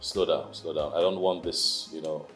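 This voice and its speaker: A man's voice: very deep voice, fairly even intonation, normal speed. A man playing a weary king who brushes off his wife's worries, impatient and dismissive. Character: weary dismissive male king, middle-aged. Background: music.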